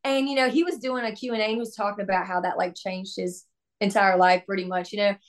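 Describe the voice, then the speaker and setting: A young woman, a country singer, chatting upbeat in a casual podcast interview, her voice hoarse from laryngitis and laughing often. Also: medium pitch, steady tone, fast.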